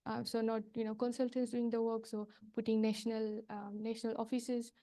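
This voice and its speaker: A woman's voice: fairly high pitch, little pitch movement, a little fast, warm tone. A woman from Fiji, a project coordinator, giving a workshop talk without slides in a hesitant way with many fillers.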